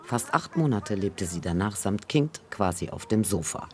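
A man reading a scripted news report as voice-over, in a calm, even manner. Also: fairly low pitch, moderate pace.

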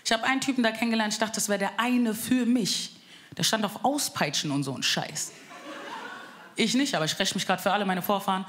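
A young woman from Bavaria doing a cheeky, deadpan stand-up set on a club stage. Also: medium pitch, fairly quick. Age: young adult. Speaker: stand-up comedian. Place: live comedy club stage. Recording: live stage mic, loud crowd and room noise.

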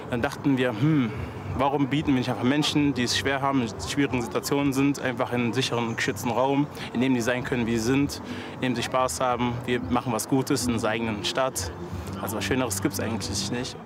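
A young man in his twenties speaks casually and loosely in an outdoor interview, filling his talk with little fillers. Clear traffic and street noise sit behind his voice. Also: medium pitch, fairly even intonation, fast. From Germany. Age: 20s. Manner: loose and chatty, many fillers. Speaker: event agency founder. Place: outdoors at a stadium.

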